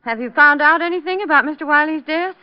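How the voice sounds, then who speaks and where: A woman's voice: sits high, fast, close to an everyday voice. A woman playing a polite, composed young hair-treatment attendant in an old radio crime drama. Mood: polite, guarded. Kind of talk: 1940s-50s radio drama acting. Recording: dull old radio recording.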